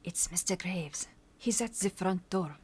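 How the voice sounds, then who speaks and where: Woman playing a low, hushed, mysterious medium. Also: unhurried, husky. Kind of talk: amateur old-time radio drama acting.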